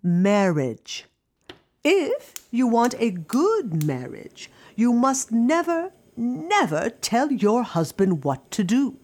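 A woman playing a bossy, warm mother in an audio drama, instructive and mock-solemn in a theatrical way. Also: on the high side, huge pitch swings, slow, heavily acted. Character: Gina's warm, bossy mother, playfully imparting kitchen wisdom.

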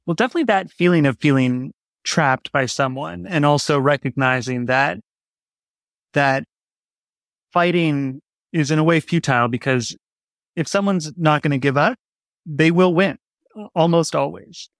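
A man's voice: on the high side, measured pace, pauses often, dry recording. A man speaking as an interview guest, explaining his creative work in a casual, reflective way. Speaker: interview guest, audio drama writer.

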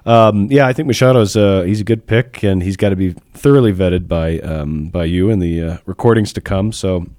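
A man's voice: low-pitched, normal speed, darkish tone, no echo, studio quality. A young priest co-hosting a podcast, chatting casually and joking at his own expense. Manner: joking, laughs often, self-deprecating. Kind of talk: casual chat.

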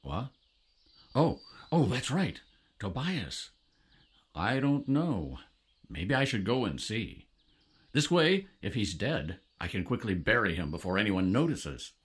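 Solemn patriarch played by a man, audio drama, unhurried and ceremonial, with wide swings in pitch. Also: sing-song, breathy edge, exaggerated voice. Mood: uneasy, furtive. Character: solemn father of the bride, plain-spoken patriarch.

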